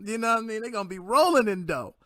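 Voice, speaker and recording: A man's voice: sits high, lively intonation, fast talker, bright, forceful tone, dry recording, clean studio sound. A man co-hosting a casual livestream, full of hype slang, laughing often and asking "you know what I mean".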